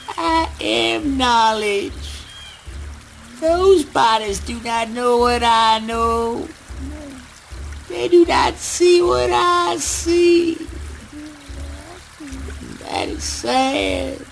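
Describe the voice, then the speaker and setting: A man playing an eccentric, grandiose son in a theatrical spoken word drama, with an erratic, drawn-out delivery. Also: very high-pitched, wildly swooping pitch, creaky, a bit bright, soft background music, exaggerated voice. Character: eccentric, grandiose son boasting of strange knowledge.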